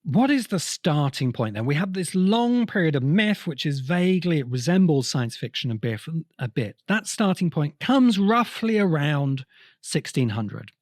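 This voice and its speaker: A man hosting a podcast, explaining literary history in a deliberate, emphatic way with pauses between phrases. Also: a little high, very animated, no room sound, clean studio sound. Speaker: podcast host.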